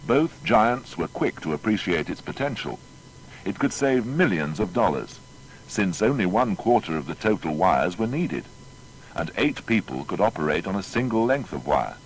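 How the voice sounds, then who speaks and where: Deep-voiced male narrator, expressive delivery. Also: poor audio. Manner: formal, measured storytelling. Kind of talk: scripted documentary biography narration. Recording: faint noise with low rumble.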